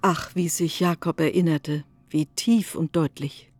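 A woman performing as a composed narrator in a literary radio drama, speaking in a measured, formal and deliberate way. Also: deep voice, fairly even intonation, music in the background.

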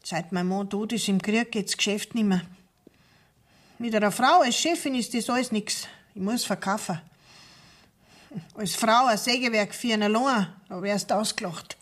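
Actress as husky, wheedling Bavarian widow. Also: female voice, medium pitch, moderate pace, lightly acted. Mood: indignant, aggrieved. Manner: chatty, confiding, folksy. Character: talkative, aggrieved, wheedling sawmill widow, eager for profit. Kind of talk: dialect radio play acting.

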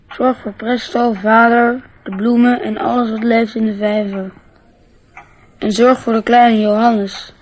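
A woman's voice: fairly high pitch, darkish tone, slight background noise, natural voice, poor audio. A woman playing a dreamy, earnest little boy in a Dutch radio play, speaking in a childlike way.

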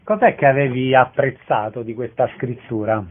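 A man's voice: medium pitch, fairly even intonation, moderate pace. A man hosting a radio interview, asking brief friendly questions and wrapping up with a promotional reminder. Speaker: radio host. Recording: lo-fi narrow radio sound.

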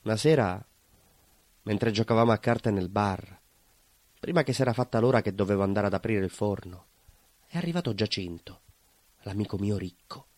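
A man's voice: on the low side, normal speed, long pauses, a little mellow, no room sound, close to an everyday voice. A man playing a nostalgic, naive, proud neighbourhood baker in a theatrical monologue, with a southern Italian accent and a colloquial, rambling, emphatic way of telling. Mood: naive storytelling. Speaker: stage actor.

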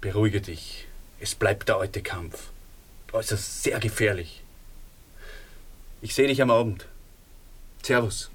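An actor in a radio play playing an earnest young man reassuring his sweetheart, with a husky voice and an Austrian accent. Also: on the low side, a little slow, pauses often. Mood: reassuring, intense. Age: young adult.

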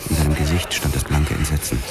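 Very deep-voiced hardboiled detective. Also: male voice, flat delivery, fast talker. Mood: tense. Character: hardboiled young male police detective, terse and brooding. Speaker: first-person narrator. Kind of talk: scripted noir crime narration.

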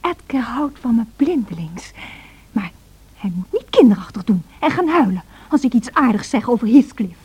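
High, breathy, rapturous young wife. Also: female voice, sing-song, normal speed, a little mellow, heavily acted. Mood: indignant. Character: wilful, rapturous, self-centred young married woman in love. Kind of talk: old Dutch radio play acting.

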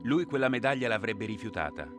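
Serious war drama narrator, lowish voice, measured and emphatic, music clearly playing behind. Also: male voice, very animated. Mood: measured, grave.

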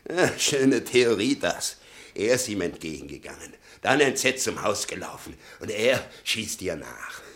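An actor playing an embittered, doting old father in a radio play, muttering and breathy, with sudden emphatic outbursts. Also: fairly low pitch, very animated, a little slow, a bit bright, heavily acted. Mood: agitated, indignant. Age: older. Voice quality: gravelly, breathy half-whispers. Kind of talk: 1960 German radio play acting.

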